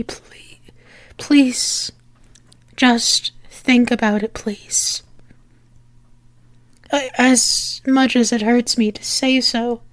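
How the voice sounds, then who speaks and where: A woman playing a lovesick demon in an intimate ASMR roleplay, pleading earnestly and haltingly with her angel lover. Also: sits high, no room sound. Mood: resigned, tender. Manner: earnest, halting, repeating herself in pleas.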